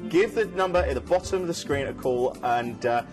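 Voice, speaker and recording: A man around forty, talking fast and enthusiastically as he pitches cruise deals on a live shopping show. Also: expressive, over music. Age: 40s. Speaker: cruise sales co-presenter.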